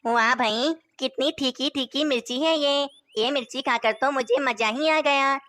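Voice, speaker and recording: A woman's voice: high voice, a little slow, fairly bright tone. A woman playing a carefree, foolish male parrot king in a children's cartoon. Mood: delighted.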